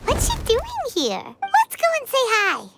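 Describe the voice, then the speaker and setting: Squeaky, excitable little fairy companion. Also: female voice, unhurried, vocal fry, full character voice. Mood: cheerful. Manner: speaks of self in third person, exclaiming. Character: small childlike floating fairy girl companion, chirpy, excitable, bossy. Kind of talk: video game voice acting. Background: background music.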